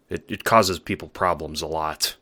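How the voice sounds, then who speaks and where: A man playing a timid young male alien troll in an audio drama, halting and stammering, eager to please and unsure of himself. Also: rumbling low, noisy background. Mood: meek. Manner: halting, stammering, self-doubting. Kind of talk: audio drama monologue and dialogue.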